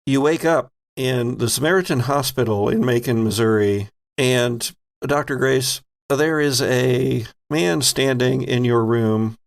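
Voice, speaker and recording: A man narrates a tabletop game as its game master, with noticeable vocal fry. His pitch sits in the middle, and he moves between improvised storytelling and playful banter. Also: unhurried, few pauses. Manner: playful, conversational.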